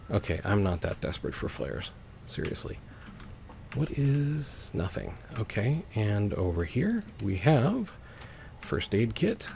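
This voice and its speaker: Low, mellow, creaky-voiced male gamer. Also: a little hiss or hum. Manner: narrates own actions in short clipped phrases. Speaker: gaming host. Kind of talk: let's play commentary. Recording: faint game ambience behind voice. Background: video game ambience.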